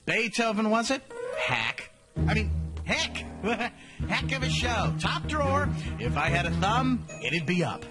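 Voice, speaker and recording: A man's voice: high-pitched, sing-song, measured pace, loud music behind the voice. A man voicing a sly, sarcastic talking cat in a cartoon, theatrical and full of wisecracks. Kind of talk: animated cartoon voice acting.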